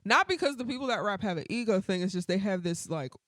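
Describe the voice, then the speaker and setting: Laid-back woman with a deep alto voice. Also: expressive, fast talker, a bit bright, no echo, studio quality. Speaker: guest singer. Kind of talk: casual interview chat.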